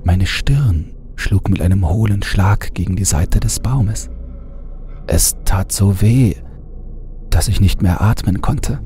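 A man narrating a horror audiobook in a hushed voice that often drops to a half-whisper. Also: low-pitched, takes it slowly. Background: music bed.

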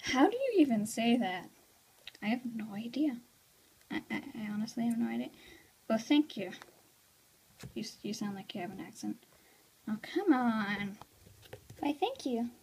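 A girl rambling through blooper outtakes, laughing at her own slip-ups and stumbling over words. Her voice sits somewhat high. Faint noise hums in the background. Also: very animated, normal speed, long pauses, a little mellow, low-quality recording. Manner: self-mocking, giggly, rambling. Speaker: toy skit creator.

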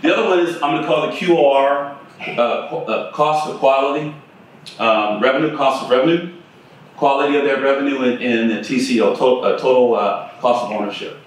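A man's voice: mid-range voice, fairly quick. A middle-aged man giving a conversational keynote talk at a conference and putting questions to the audience. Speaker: keynote speaker. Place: conference room with projector screen. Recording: echoey room, from a distance.

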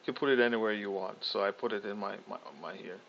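A young man casually talking through a software tutorial, thinking aloud with fillers and restarts. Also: lowish voice, fairly even intonation, quick pace, creaky, a little hiss or hum. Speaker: tutorial host. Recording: slightly dull lo-fi audio.

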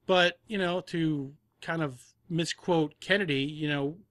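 A man's voice: a little high, fairly even intonation, unhurried, fairly bright tone, no room sound, studio quality. A man talking casually as a guest on a podcast, in the role of an audio drama producer.

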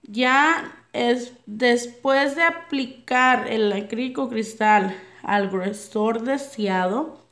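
A young woman with a slightly high voice talks viewers through her nail work at home. Her Spanish is not her first language, and her manner is chatty and friendly, calling her audience girls. The sound comes from a phone over her hands. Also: very slow, fairly bright tone. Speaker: nail artist. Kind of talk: nail tutorial narration. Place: home nail table, dogs barking outside.

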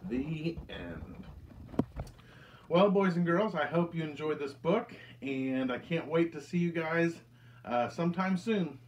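An American man in his thirties reads a picture book aloud to children with deliberate, expressive storytelling delivery. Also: a little high, slight background noise. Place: indoors, small room with curtain backdrop.

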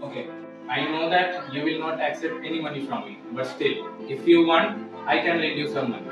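A man's voice: fairly high pitch, normal speed, natural voice. A young man with an Indian accent playing a kindly old landlord in an amateur student play, in an echoey stairwell. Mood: concerned. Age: young adult. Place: stairwell indoors. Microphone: phone camera at a distance. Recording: echoey stairwell, distant, loud music.

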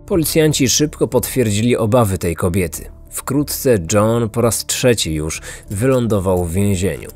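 A man's voice: on the low side, animated, measured pace, hardly any pauses, darkish tone. A man narrating a scripted true-crime podcast. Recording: music underneath.

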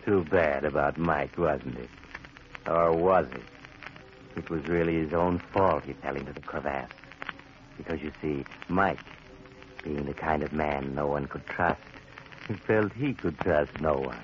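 An actor playing a sinister, wry doctor who narrates macabre tales in theatrical 1940s radio style. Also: male voice, a bass voice, a little slow. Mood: wry, ominous. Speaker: narrator, host. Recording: muffled, very noisy 1940s radio recording.

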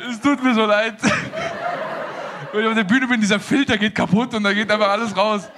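A man's voice: sits high, a little fast, crowd noise. A young man from an Afghan family in Germany performing a stand-up set on a small stage with a handheld mic, acting out little scenes. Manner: animated, acts out dialogues with put-on voices. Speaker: stand-up comedian. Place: small stage with living-room set before a live audience.

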